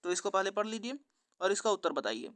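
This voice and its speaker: A man's voice: high-pitched, big pitch swings, quick pace, fairly bright tone, no room sound. A man working through exam practice questions as a teacher, with an instructional, formulaic question-and-answer delivery. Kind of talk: scripted quiz walkthrough lesson.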